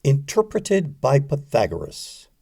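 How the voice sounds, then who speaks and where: A man narrating a poetry audiobook, reciting scripted verse in a measured, deliberate way. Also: medium pitch, huge pitch swings, gravelly, dark tone, breathy edge, dry recording, clean studio sound.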